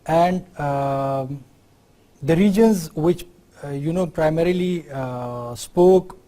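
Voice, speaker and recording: A middle-aged man with a Pakistani accent giving an unscripted university lecture, often pausing on fillers and checking in with "right?". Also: a little high, warm tone. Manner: unscripted explaining, many fillers, tag questions like "right?".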